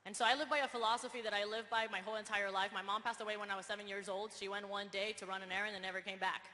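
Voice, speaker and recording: A woman speaks very fast and with insistent earnestness from a stage. Her voice is bright and a little high. The big hall adds a long reverb. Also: flat delivery. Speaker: speaker at a business event. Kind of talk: motivational stage speech.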